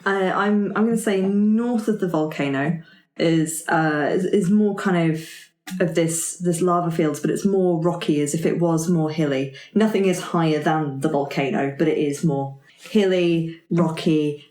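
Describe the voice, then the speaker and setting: A woman's voice: sits low, a little slow, darkish tone. A woman playing a tabletop game, improvising descriptions casually and a little hesitantly. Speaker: roleplaying game player. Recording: roomy echo.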